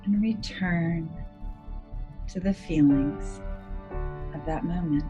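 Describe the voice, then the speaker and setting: Low-pitched woman guiding a meditation over music. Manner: gentle, measured, soothing instruction with deliberate pauses. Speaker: clinical psychologist guiding a meditation. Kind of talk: guided meditation.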